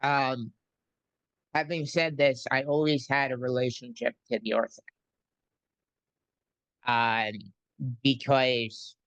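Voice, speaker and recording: Man hosting an interview, very slow and halting, smooth voice, dry close sound. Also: medium pitch, fairly bright tone, dry recording, rough recording. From New York City. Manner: slow, halting, frequent ums and pauses. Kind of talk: casual conversational interview.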